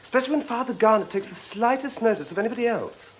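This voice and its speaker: A fussy young male acolyte in a radio mystery. His voice is high and swooping, affected and gushing, with a catty, melodramatic edge. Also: expressive, fast. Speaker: actor in a radio detective drama. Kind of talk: radio drama acting.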